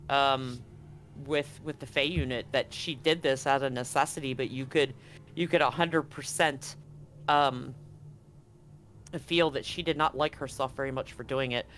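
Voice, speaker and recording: A woman's voice: deep voice, fairly bright tone. A woman running a tabletop roleplaying stream as game master, chatting casually and praising her players in an encouraging way.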